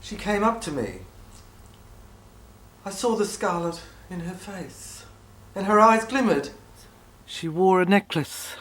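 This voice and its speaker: A woman acting a confused, grieving figure haunted by memory, in a fragmented, halting dramatic monologue. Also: medium pitch, animated. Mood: haunted, dreamy. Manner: fragmented, halting, intense delivery. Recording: clearly noisy recording.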